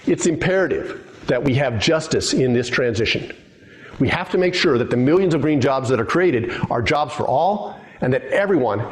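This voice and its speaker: A man giving a steady, rallying scripted address to open a webinar. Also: medium pitch. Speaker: speaker in a recorded webinar intro.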